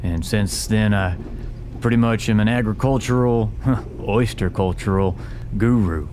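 A man's voice: fairly low pitch, measured pace, noisy background, lightly acted. A man voicing a boastful, jovial oyster entrepreneur who rambles in a folksy way, with music behind. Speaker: tabletop player.

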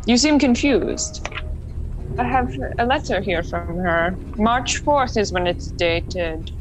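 A woman's voice: mid-range voice, animated, slow, background noise. A woman voicing a flamboyant French Canadian woman artist with a put-on French Canadian accent, dramatic and full of fillers, with music behind. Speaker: tabletop player.

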